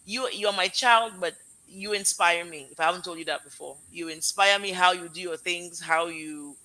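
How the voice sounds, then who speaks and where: Woman, Nigerian accent with American edge, live-stream host, piercingly bright tone, gushing and rambling thanks. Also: fairly low pitch, moderate pace, rough recording. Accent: Nigerian with American mix. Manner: effusive, heartfelt, rambling thanks. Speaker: live show host, parent. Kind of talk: casual live-stream wrap-up.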